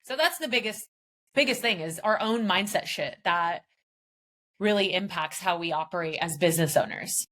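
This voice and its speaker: A woman with a slightly low voice talks as a podcast guest. She is emphatic and casual, stacking point after point with conviction and now and then dropping a mild swear. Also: moderate pace, fairly bright tone. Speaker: guest, business founder. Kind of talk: motivational interview talk.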